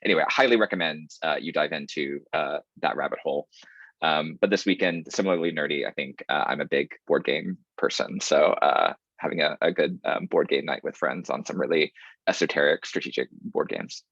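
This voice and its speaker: A man's voice: very deep voice, a little fast, creaky, a bit bright, no room sound. A man speaking as a panelist on a video call, enthusiastic and rambling. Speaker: panelist, senior associate. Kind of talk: casual panel talk. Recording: dull dry video call audio.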